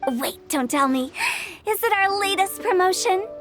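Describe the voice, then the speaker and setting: A woman's voice: very high voice, lively intonation, measured pace. A woman playing a playful, theatrical young funeral parlor director with a teasing manner in a video game. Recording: faint music behind the voice.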